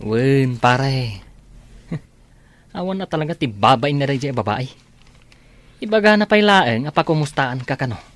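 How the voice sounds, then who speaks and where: Actor as an easygoing, gossipy Filipino buddy. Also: male voice, medium pitch, lively intonation, a little slow, pauses often, fairly bright tone, no room sound. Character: easygoing, gossipy male friend. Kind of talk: audio drama acting.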